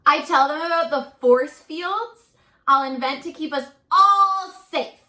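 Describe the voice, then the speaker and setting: Lady teacher doing a kids read aloud, kinda high voice, takes her time, encouraging and expressive, echoey room. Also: a little slow. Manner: encouraging, expressive, talking directly to young children. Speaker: teacher reading a picture book to children at home. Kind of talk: children's read-aloud with chatty asides and questions. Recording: roomy echo.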